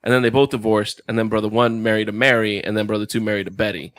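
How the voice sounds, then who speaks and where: A man's voice: fairly low pitch, little pitch movement, quick pace, fairly bright tone, no echo. Man, podcast co-host, joking, casual banter, dry close mic. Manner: joking, retells half-remembered stories.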